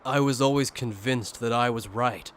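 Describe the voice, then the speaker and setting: A man's voice: medium pitch, moderate pace, lightly acted. Echoing, earnest young-hero monologue by a man. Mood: regretful, reflective. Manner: earnest, introspective. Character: earnest, troubled male soldier reflecting on his justice. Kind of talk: anime fan dub acting and monologue. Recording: roomy long reverb, faint noise.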